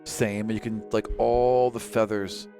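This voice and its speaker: A man running a tabletop fantasy game, narrating and bantering casually in a loose, halting way, quick to laugh. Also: lowish voice, little pitch movement, warm tone. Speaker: game master. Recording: music clearly heard behind the voice. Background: background music.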